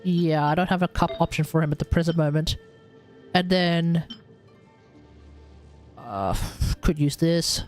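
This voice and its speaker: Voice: medium pitch, measured pace, pauses often, a little mellow, soft background music. A live streamer giving casual gaming commentary, thinking aloud and talking to the chat.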